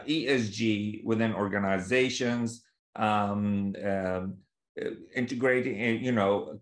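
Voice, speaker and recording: A middle-aged man from Kuwait giving an informal webinar talk in a hesitant, conversational way. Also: fairly low pitch. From Kuwait, Palestinian by origin. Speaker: guest speaker, leadership consultant. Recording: echoey room on webinar microphone.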